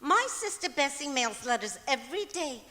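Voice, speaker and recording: Woman acting a high, swooping scatterbrained radio wife. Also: very animated, normal speed, bright, forceful tone, full character voice. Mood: bright, confidently illogical. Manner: earnest, naive, confidently illogical. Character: scatterbrained, cheerfully illogical wife in a radio comedy. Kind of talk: old-time radio comedy acting on stage. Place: large auditorium stage, live performance. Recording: echoey auditorium stage recording.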